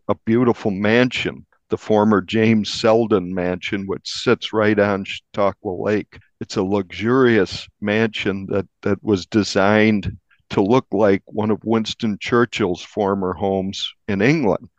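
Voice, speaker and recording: A man speaking as an investigative journalist in an interview, telling what he reported in a measured, descriptive, conversational way. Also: fairly low pitch, fairly even intonation, no echo. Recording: dull, band-limited audio.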